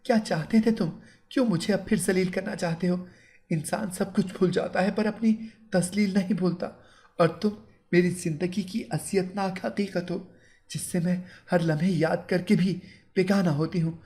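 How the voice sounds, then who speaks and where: A man's voice: high voice, moderate pace, dark tone, voice pushed up, lightly acted. A man voicing a bitter, furious young bride in an audio story. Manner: emotional, accusing.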